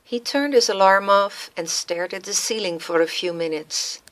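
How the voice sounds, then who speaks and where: A woman's voice: mid-range voice, normal speed, a bit bright. A woman reading scripted story narration as the narrator of a radio play. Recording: music laid under the voice.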